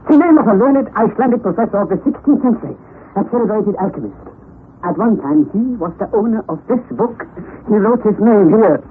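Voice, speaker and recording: Through a thin, hissy old radio recording comes an eccentric professor, an older man. His voice sits fairly high and leaps about as he declaims theatrically. He is excitable, obsessive and bossy, in the manner of old-time radio drama. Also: a little fast. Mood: excited, proclaiming. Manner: theatrical, declaiming, muttering to himself. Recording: tinny, narrow, noisy vintage radio recording.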